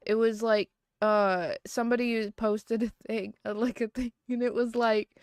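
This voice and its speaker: A woman's voice: fairly high pitch, steady tone, unhurried, a little mellow, smooth, dry recording, clean studio sound. A woman livestreaming from home, chatting casually and rambling with lots of fillers and restarts. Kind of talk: casual chat.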